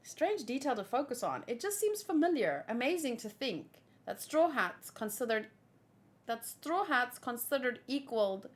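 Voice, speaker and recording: High-voiced woman doing a casual manga read-along. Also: moderate pace. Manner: animated fan chatter, reading dialogue aloud. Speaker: host reacting to a manga chapter read-along. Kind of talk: casual read-along with excited fan reactions.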